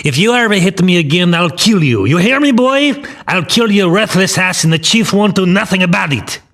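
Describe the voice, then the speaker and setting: A man voicing a brutal, bullying Italian mobster in an audio story, with a raspy accented voice and street-tough threats. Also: mid-range voice, expressive, normal speed, pitched above the performer's own voice, lightly acted. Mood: furious.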